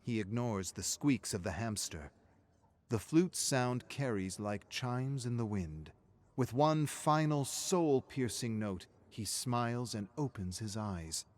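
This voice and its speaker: A man reading dramatic scripted narration of a dark story in a measured, grave manner. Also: lowish voice.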